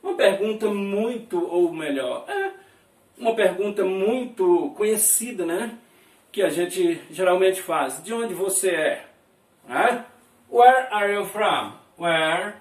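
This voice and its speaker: A man's voice: high-pitched, animated, slow. A male teacher from Brazil giving a classroom lesson, repeating each phrase and checking in with his students often. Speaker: English teacher. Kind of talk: classroom lesson explaining words. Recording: echoey room, mic at a distance.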